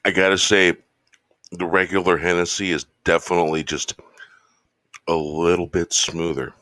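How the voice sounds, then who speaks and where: A man hosting his show in a laid-back, rambling casual chat, slightly hoarse from losing his voice. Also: sits low, level tone, normal speed, a bit bright, no echo, studio quality. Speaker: show host. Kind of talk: casual chat while drinking.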